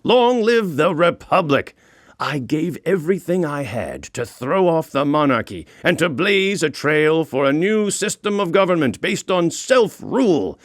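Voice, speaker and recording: A man's voice: on the high side, sing-song, measured pace, dry recording, clean studio sound. A man voicing a proud, indignant sixteenth-century Dutch prince in a comic audiobook, grand and mock-solemn in delivery. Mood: indignant, declamatory. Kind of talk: comic audiobook monologue in character.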